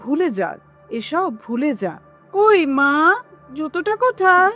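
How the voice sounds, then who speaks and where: A woman playing a brisk, polite young daughter-in-law in a radio drama, chirpy and placating as if keen to leave. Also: extremely high pitch, very animated, exaggerated voice. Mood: placating, hurried. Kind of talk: Bengali radio drama acting. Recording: dull lo-fi audio with music behind.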